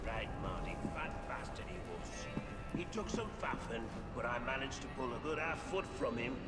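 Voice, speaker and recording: An actor playing a gloating, sadistic Victorian chief warder in a video game, with a rough working-class London accent and a crude, bullying manner. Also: male voice, mid-range voice, big pitch swings, moderate pace, lightly acted. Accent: English, working-class London. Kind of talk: video game character acting. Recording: music behind the voice.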